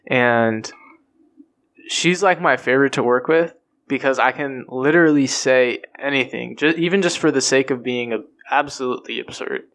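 A man's voice: mid-range voice, moderate pace. A man in casual chat among friends, talking in a loose, rambling way full of fillers. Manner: relaxed and rambling, full of fillers, laughs at own stories.

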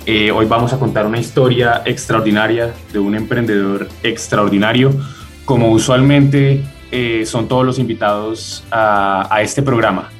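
Guy opening a radio show, upbeat, music playing soft behind. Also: fairly low pitch, steady tone, normal speed. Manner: upbeat, enthusiastic.

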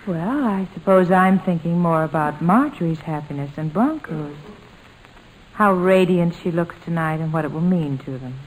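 Woman playing a gentle, low-voiced reassuring lady. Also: moderate pace, close to an everyday voice. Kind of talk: old-time radio sitcom acting. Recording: noisy vintage radio recording.

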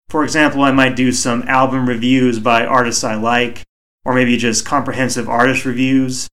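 A man hosting a solo hobby podcast, speaking in a measured, reflective way with a soothing voice, recorded on a home microphone. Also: mid-range voice, a bit bright. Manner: measured, reflective, deliberately slow. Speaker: podcast host.

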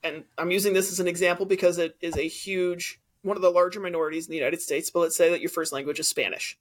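Male podcast co-host with a high voice who argues his case with examples. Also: fairly even intonation, quick pace. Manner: argumentative, explains with examples. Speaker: teacher. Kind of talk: casual debate chat.

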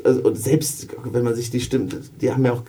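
A co-host chatting casually and excitably, quick to react and laugh. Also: mid-range voice, a little hiss or hum. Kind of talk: casual chat.

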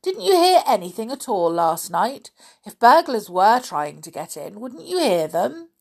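An excited young boy from a children's mystery story, acted out by a woman reader. He is bold and insistent. The voice jumps well up in pitch and calls out eagerly. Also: unhurried, gravelly, heavily acted.

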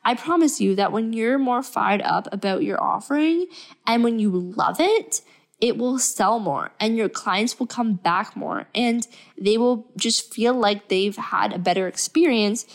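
A woman's voice: fairly high pitch, a little slow. Woman, stuffy with a cold, casual podcast host, chatty, upbeat. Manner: chatty and upbeat, with fillers and laughs. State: stuffy, sick voice. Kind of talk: casual solo podcast talk.

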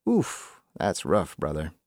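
A blunt, proud working-class man read by a male author in a short story. He talks in a gruff, colloquial, teasing way, and the recording is dry and close. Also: low voice, huge pitch swings, takes it slowly, darkish tone, dry recording, exaggerated voice. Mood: casual.

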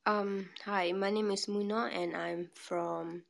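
A woman from Burma, an interpreter who talks about her work softly and hesitantly. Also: mid-range voice, fairly even intonation, slow, vocal fry. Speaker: interpreter and community liaison.

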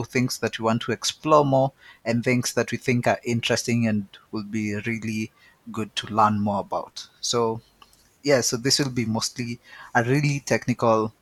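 A man co-hosting a tech podcast in a relaxed, chatty way, often repeating words as he thinks. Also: medium pitch, dry recording. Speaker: podcast co-host. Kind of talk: casual chat.

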